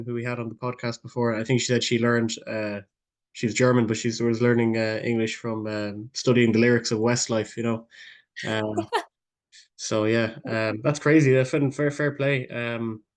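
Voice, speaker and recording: A man hosts a podcast interview, circling round his questions with plenty of ums and false starts. His voice is at an everyday middle pitch for a man. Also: little pitch movement, normal speed. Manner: rambling, many fillers and restarts. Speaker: podcast host. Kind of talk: casual interview hosting.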